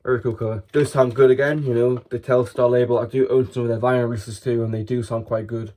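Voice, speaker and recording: A man's voice: mid-range voice, level tone, fast, warm tone. British man, casual show-and-tell. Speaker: video host showing a collection.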